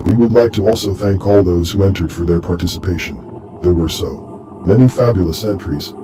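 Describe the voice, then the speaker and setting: Deep-voiced man hosting a horror show. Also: a little fast. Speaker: host of a horror radio show. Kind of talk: scripted show announcements. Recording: clear background noise behind voice.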